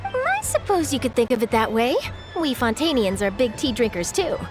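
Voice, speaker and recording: A woman's voice: fairly high pitch, wildly swooping pitch, normal speed. A woman voicing an upbeat young female reporter who speaks in a friendly, persuasive way, in a game with music. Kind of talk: game voice acting. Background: game background music.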